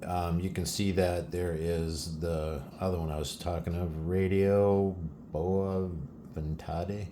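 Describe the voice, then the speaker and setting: A man's voice: low-pitched, flat delivery, slow pace. A man giving a casual, unscripted narrated demonstration as a radio hobbyist host, explaining things informally. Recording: loud shortwave radio static and noise. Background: shortwave radio broadcasts and static.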